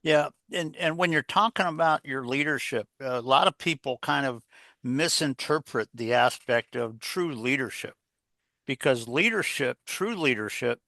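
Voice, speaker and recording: Bright-voiced man, emphatic and conversational. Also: medium pitch, vocal fry, dry recording. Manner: emphatic, rambling, folksy, repeats himself. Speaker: executive coach, interview guest. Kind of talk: conversational explanation of leadership advice.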